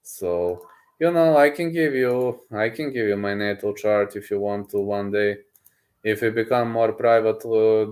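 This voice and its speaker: A man, a streamer chatting casually with his viewers, boastful and excitable, often repeating himself. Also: lowish voice. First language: Serbian. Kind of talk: casual livestream chat, reading messages aloud.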